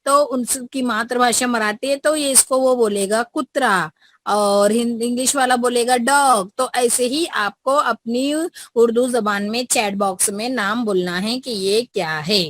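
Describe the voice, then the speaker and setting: Teacher coaxing pupils on a video call. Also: female voice, fairly high pitch, unhurried, a bit bright, no room sound. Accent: Indian. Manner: coaxing, repeats prompts to pupils. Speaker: school teacher. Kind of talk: online class teaching.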